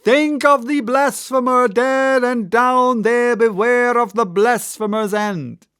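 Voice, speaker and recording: A man's voice: very high voice, fairly even intonation, slow, sharp tone, clear, resonant tone, no room sound, clean studio sound, voice pushed up, exaggerated voice. A man voicing a fanatical prophet who cries out warnings of doom in a vehement, declamatory way, as in an audiobook.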